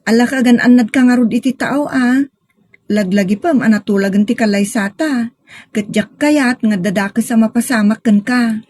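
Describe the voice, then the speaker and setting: A woman acting an eager, outspoken young village woman in a radio drama, expressive and bantering, with a Filipino accent. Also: fairly high pitch, normal speed, no echo, close to an everyday voice. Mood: curious.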